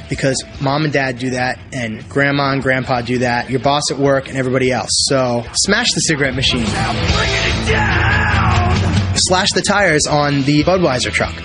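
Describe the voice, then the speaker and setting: A man's voice: medium pitch, steady tone, normal speed, hardly any pauses, over music. A man giving an emphatic, opinionated interview in short punchy clauses. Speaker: documentary interviewee. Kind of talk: interview talk.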